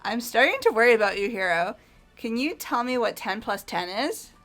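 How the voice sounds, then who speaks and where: Casting: woman playing a direct, upbeat teenage girl in a game, bright forward voice, slightly high, faint music. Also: expressive, normal speed. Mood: teasing. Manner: direct, teasing. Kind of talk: voiced visual novel game playthrough.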